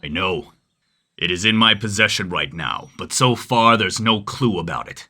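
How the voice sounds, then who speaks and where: A man playing a grim, vengeful samurai searching for his brother's killer, solemn, terse and insistent, in an animated story. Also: sits low, normal speed, heavily acted. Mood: brooding. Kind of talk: dramatized animated bedtime story. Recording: loud music under the voice.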